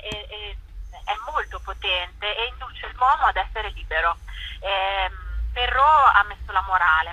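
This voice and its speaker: Woman, bright tone, rough husky edge, emphatic explanatory interview talk. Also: mid-range voice, low-quality recording. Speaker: festival director being interviewed.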